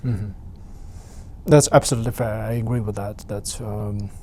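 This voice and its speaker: A man's voice: fairly low pitch, fairly even intonation, normal speed, mellow. Man asking questions, faint room noise. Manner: brief backchannel murmurs, questions slightly off-mic. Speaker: interviewer. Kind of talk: interview questions.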